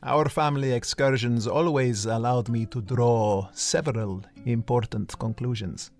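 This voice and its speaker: A man's voice: medium pitch, steady tone, measured pace, a little mellow, soft background music, close to an everyday voice. A man playing a reflective, wry character recalling family memories in a scripted voice-over. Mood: wry reflection.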